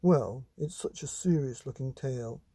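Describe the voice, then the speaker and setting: A man's voice: medium pitch, unhurried, mellow, husky, no echo. A man voicing an earnest, worried father in a storybook.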